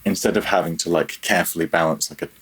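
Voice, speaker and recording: A man talking reflectively and casually as an interview guest, halting and self-deprecating as he goes. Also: low voice, steady tone, fairly bright tone, husky. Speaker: podcast guest, freelance audio programmer.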